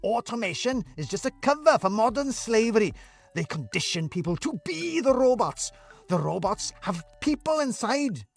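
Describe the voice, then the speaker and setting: A frantic, excitable man raving about hidden conspiracies, his voice jumping high and sounding strained and set apart from the narration, as a male narrator puts him on. He rambles breathlessly in a storybook reading with soft music underneath. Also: normal speed, vocal fry, exaggerated voice. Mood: feverish. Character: frantic middle-aged man chasing robot conspiracies.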